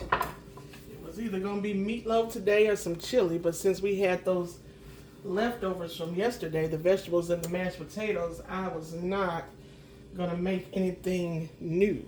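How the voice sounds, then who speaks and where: Slightly hoarse woman cooking at home. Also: lowish voice, steady tone, a little slow, pauses often, a little mellow, a little hiss or hum. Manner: chatty, homey, clears throat often. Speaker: home cooking channel host. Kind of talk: casual cooking chat. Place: home kitchen. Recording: roomy kitchen sound from a distant mic.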